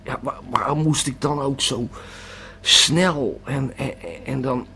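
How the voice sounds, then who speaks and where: A man's voice: mid-range voice, steady tone, slow, sharp tone, slight background noise. A man playing a panicky, bewildered holidaymaker tripping on a pill in an audio drama monologue, hesitant and stammering. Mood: paranoid unease. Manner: stammering, hesitant, repeating words.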